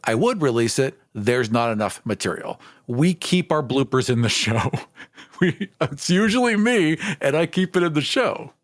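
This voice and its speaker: A man's voice: mid-range voice, very animated, moderate pace, no room sound, studio quality. A man chatting casually as a podcast co-host, giving emphatic, appreciative commentary.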